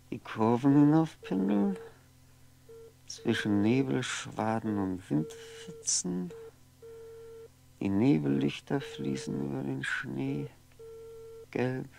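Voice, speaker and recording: An actor playing a lonely, brooding man in a radio drama monologue, musing haltingly to himself, wistful and wry, at times dropping to a whisper. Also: mid-range voice, gravelly, a little mellow, heavily acted. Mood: dreamy, brooding. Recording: noisy 1960s radio recording, faint hum.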